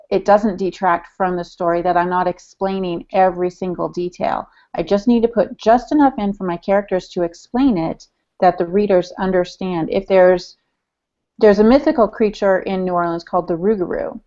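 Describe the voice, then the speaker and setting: A woman with an American accent and a fairly low voice, talking casually on a podcast panel. She explains her points through examples, and the recording is somewhat dull and lo-fi. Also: a little mellow. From Michigan, United States.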